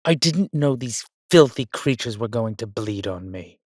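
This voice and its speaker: An arrogant, menacing vampire warrior, acted by a man in an audio roleplay, speaking low and unhurried. He is theatrical and haughty, suave with his companion, and the sound is close and dry. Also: slightly rough, no room sound, exaggerated voice. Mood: haughty outrage. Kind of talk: dramatic roleplay monologue, addressing a listener.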